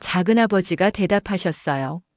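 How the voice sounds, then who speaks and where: Woman narrating a story calmly. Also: lowish voice, normal speed, dry recording. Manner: calm storytelling, first person. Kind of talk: scripted audio drama narration.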